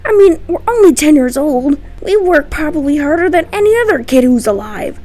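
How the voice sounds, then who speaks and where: A woman's voice: high voice, lively intonation, moderate pace, mellow, soft background music, pitched above the performer's own voice. A woman voicing a defiant ten-year-old boy trainee in an amateur comic dub, protesting in an indignant, pleading manner. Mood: indignant, whiny. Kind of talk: amateur dubbed comic voice acting.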